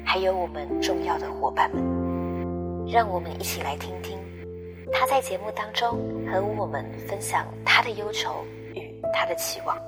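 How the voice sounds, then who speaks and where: A woman's voice: lowish voice, normal speed. A woman delivering a scripted podcast introduction as a narrator. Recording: prominent music under the voice. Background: loud background music.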